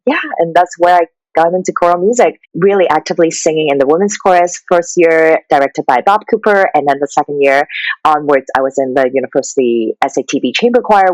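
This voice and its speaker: A woman from Hong Kong in her thirties, talking reflectively and warmly as an interview guest, with some fillers. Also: low-pitched, dry recording, poor audio. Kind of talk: conversational interview.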